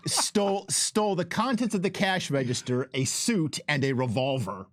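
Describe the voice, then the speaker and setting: A man, a game developer, telling stories in an emphatic, animated way in a casual unscripted livestream chat. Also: high voice, unhurried, no room sound, studio quality. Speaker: game developer giving commentary.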